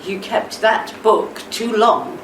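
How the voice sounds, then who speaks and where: A woman performs a gentle, insistent wife in a radio drama. She speaks slowly and coaxingly, with sorrowful tenderness. She sounds set back from the mic in an echoey, noisy room. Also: on the high side, steady tone. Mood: tender, pained. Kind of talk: radio play acting. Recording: echoey room, distant mic, background noise.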